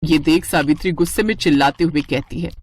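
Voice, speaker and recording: A woman narrating a scripted moral story in an expressive storytelling style. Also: sits low, a little fast, husky.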